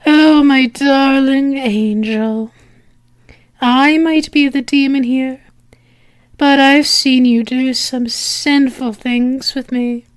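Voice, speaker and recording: A woman playing a flirtatious female demon in an ASMR roleplay, teasing and sultry, breathy and often slipping into a whisper, close to the microphone. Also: high-pitched, steady tone, dry recording. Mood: seductive, teasing. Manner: sultry, teasing, drawn-out with lingering pauses.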